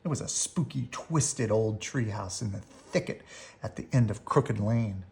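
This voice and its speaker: A woman reading a children's bedtime story aloud in expressive narration. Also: rumbling low, measured pace, vocal fry. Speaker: storybook reader.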